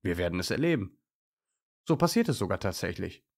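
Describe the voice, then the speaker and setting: A male storyteller reads a scripted children's tale. His voice is low, with a distinct gravelly creak. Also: sing-song, a little fast, darkish tone.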